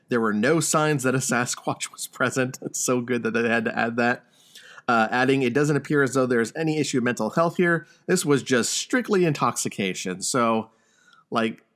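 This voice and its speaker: A man's voice: mid-range voice, a bit bright. A man hosting a podcast, chatting casually in a wry, joking, mildly profane way. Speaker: podcast host. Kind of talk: casual chat about news and video games.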